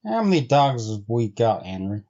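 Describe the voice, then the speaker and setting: A man voicing an uneasy, insistent sled-dog driver in a storybook, speaking gravely and deliberately in rustic, old-time phrases. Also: mid-range voice, sing-song, dark tone, muffled recording. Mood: puzzled, probing. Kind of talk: storybook character voice.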